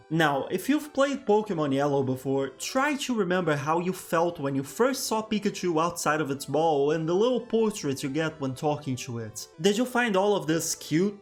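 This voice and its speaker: Man, native portuguese speaker, slightly high voice, dry joking commentary, soft music underneath. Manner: dry self-deprecating humor. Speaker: video essay host. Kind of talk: scripted commentary.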